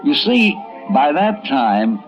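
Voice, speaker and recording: A man's voice: on the high side, big pitch swings, takes it slowly, music in the background. A man narrating a radio documentary in a folksy, conversational but scripted way. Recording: dull vintage radio recording.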